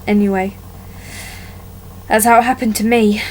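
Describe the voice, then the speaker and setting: A woman performing a dramatic monologue as a wounded young woman haunted by a childhood attack, telling it haltingly with deliberate pauses. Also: medium pitch, little pitch movement, darkish tone, slight background noise, lightly acted, rough recording. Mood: pained, subdued.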